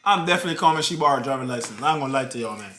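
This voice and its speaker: A man's voice: medium pitch, lively intonation, racing, echoey room, background noise. A man in his thirties, a vlog co-host, chatting casually and teasing his partner in a slangy, laid-back way. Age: 30s. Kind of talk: casual couple Q&A chat.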